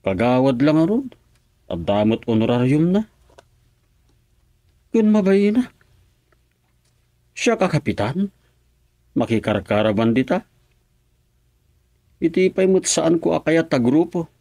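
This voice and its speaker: A man's voice: a little high, dark tone, dry recording, exaggerated voice. A man playing an easygoing husband who teases his wife and mutters to himself. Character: husband Doming, easygoing and teasing. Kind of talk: radio drama acting.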